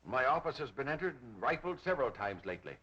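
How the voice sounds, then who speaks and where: Harried businessman, animated delivery. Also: mid-range voice, quick pace. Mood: worried, earnest. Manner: earnest, nervous. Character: anxious, harried male businessman in fear. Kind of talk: 1930s film acting. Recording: dull 1930s film soundtrack.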